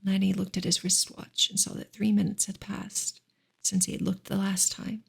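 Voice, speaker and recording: A woman reads a novel aloud in a soft ASMR style. Her voice is breathy and husky with a creaky fry at the edges. The delivery is gentle and measured, staying on an even, narrow line of pitch. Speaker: ASMR reader and livestream host. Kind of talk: soft ASMR audiobook reading of a classic novel.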